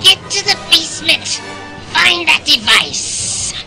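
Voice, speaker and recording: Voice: extremely high pitch, sing-song, slow pace, gravelly, music in the background, heavily acted. An actor playing an imperious, cold alien crime prince in a video game, with theatrical delivery. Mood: commanding. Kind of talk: video game villain acting. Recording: loud game soundtrack behind.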